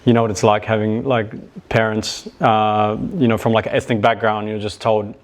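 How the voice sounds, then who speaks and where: Young guy from new zealand, lowish voice, chill podcast guest chat, lots of like and yeah. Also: flat delivery, moderate pace. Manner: laid-back, lots of "like" and "yeah". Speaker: guest, e-commerce business owner. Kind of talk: casual interview chat.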